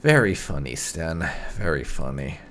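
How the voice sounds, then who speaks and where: A man hosting a gaming video, giving casual, wry playthrough commentary with some light roleplay in a gravelly voice. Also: a bass voice, sing-song, unhurried, a bit bright. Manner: wry, sarcastic. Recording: game music behind. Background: game music.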